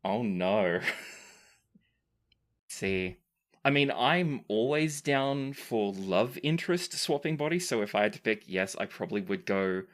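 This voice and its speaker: Lively low-key Australian woman hosting. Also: slow pace. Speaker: podcast host reading listener questions. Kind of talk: casual Q&A chat.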